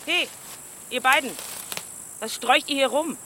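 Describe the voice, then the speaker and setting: A woman's voice: sits high, measured pace, pushed and bright, a little hiss or hum. A woman playing a stern, bitter middle-aged village aunt in a radio play, curt and scolding as if issuing warnings. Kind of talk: German radio play acting.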